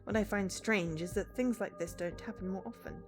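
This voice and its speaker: A woman's voice: medium pitch, normal speed, over music, natural voice. A woman voicing a guarded, businesslike female investigator in a visual novel, questioning and matter-of-fact. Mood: serious, matter-of-fact.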